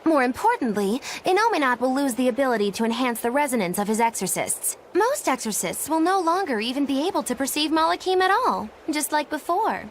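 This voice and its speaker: A woman's voice: sits high, sing-song, brisk, hardly any pauses, slight background noise. A woman playing a smug, flamboyant young witch in a fantasy video game, speaking dramatically. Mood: smug, knowing. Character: theatrical, smug, gifted young female witch. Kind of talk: animated fantasy game acting.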